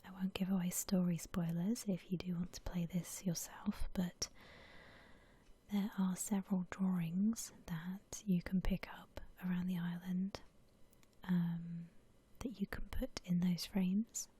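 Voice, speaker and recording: A woman doing whispered ASMR commentary over a video game, gentle and unhurried in a breathy whisper. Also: on the low side, flat delivery. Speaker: video game streamer narrating her building session.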